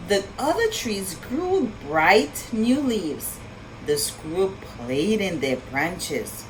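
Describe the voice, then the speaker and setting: A woman reading a story aloud for young children in an expressive, sing-song way, pausing to ask her listeners questions. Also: medium pitch, a little hiss or hum, rough recording. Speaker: children's storytime reader and teacher.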